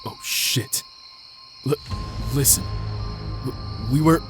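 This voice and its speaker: A man playing a rough, blustering but jittery street gang thug in a visual novel. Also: mid-range voice, steady tone, takes it slowly, music in the background, natural voice. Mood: nervous. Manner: blustering, stammering when cornered. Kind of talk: acted visual novel dialogue.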